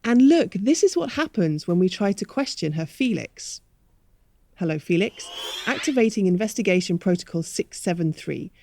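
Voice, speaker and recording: A woman's voice: mid-range voice, expressive, moderate pace, a little mellow. A woman playing a harried but polite manager of a retirement home in a radio play.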